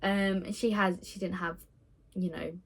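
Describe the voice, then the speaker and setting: A woman's voice: fairly low pitch, fairly even intonation, unhurried. Chatty nineteen-year-old woman vlogging on a phone. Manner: chatty and rambling, many ums, laughs at herself. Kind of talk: casual Q&A vlog. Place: indoor room, window open to traffic.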